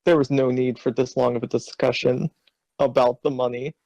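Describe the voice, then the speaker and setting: A man's voice: mid-range voice, little pitch movement, moderate pace, creaky, mellow, no echo. A man commenting on a badly written story, riffing jokes and laughing often. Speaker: co-reader and commentator. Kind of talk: comedic banter.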